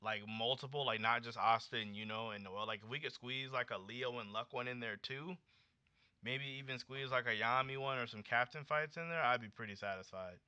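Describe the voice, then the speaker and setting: An American man in his late twenties hosting a casual livestream, chatting with viewers in a slangy, animated, conversational way. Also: mid-range voice, brisk, clean tone, no room sound. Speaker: livestream host. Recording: dull lo-fi streaming audio.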